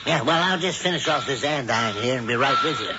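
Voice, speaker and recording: An actor playing a folksy, slyly sinister blacksmith who serves the devil, in a 1970s radio drama. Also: male voice, mid-range voice, animated, fast, close to an everyday voice. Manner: homespun and chatty, slyly sinister. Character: folksy blacksmith warlock husband. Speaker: Jason the blacksmith. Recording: dull old radio broadcast with faint hiss.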